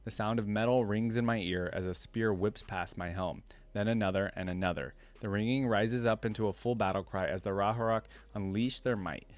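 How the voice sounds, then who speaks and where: A man hosting a reaction video, reading a story aloud and adding casual, musing asides. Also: on the low side.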